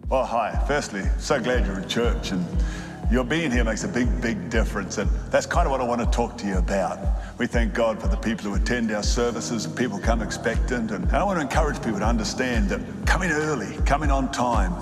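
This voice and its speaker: A man's voice: medium pitch, brisk. A man with an Australian accent making encouraging church announcements to the congregation. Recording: big hall with loud music.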